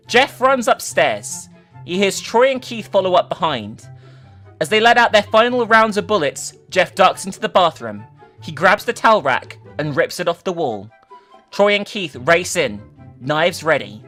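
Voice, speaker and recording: Lively, bright-voiced woman reading dramatically. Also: fairly low pitch. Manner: theatrical, emphatic, sweary in asides. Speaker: video host reading a story aloud. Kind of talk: dramatic reading with casual commentary.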